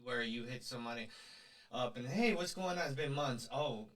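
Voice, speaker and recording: A man co-hosting a podcast, teasing and animated in casual chat, dropping into Spanish at times. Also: medium pitch. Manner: teasing, animated, quick interjections. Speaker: podcast co-host.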